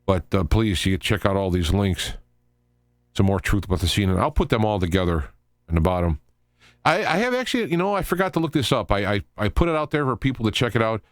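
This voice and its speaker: Guy hosting live talk radio, lowish voice, really dry sound, rambly stop-start commentary off the cuff. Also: very animated, no room sound. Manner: rambling, halting, stop-start delivery with digressions. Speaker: host of a live political talk radio show.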